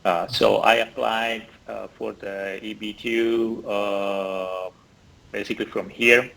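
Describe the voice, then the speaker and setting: A man's voice: on the low side, flat delivery, slow pace, creaky, fairly bright tone, poor audio. A man in his forties from Italy with an Italian accent, chatting as an interview guest over a video call, hesitant with many fillers and restarts. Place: indoor room. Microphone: laptop or phone camera on video call.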